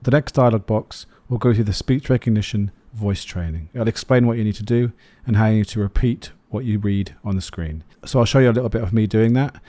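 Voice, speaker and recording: Male review narrator for video, relaxed warm tone, close dry recording. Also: lowish voice, a little fast, few pauses, no echo. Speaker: flight sim video host, reviewer. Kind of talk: scripted product review narration.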